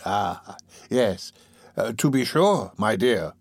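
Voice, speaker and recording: A man's voice: fairly low pitch, lively intonation, takes it slowly, dry recording, studio quality. A man voicing a sly, placating old criminal in a storybook reading, soothing and hesitant.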